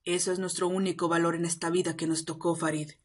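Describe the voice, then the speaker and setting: A woman's voice: on the low side, flat delivery, quick pace, slightly rough. A woman playing a cold, sarcastic and threatening young man in an amateur roleplay audio drama. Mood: bitter.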